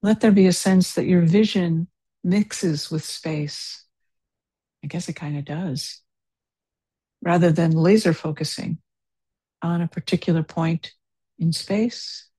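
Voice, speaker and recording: Slow, soft-spoken woman guiding meditation. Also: on the low side, husky, no room sound. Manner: slow, soft-spoken and unhurried, with long pauses. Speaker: meditation teacher. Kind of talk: guided meditation.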